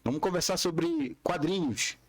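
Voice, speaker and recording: A man's voice: a little high, measured pace, slightly rough. A man hosting a podcast interview in casual, conversational Portuguese. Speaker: podcast host.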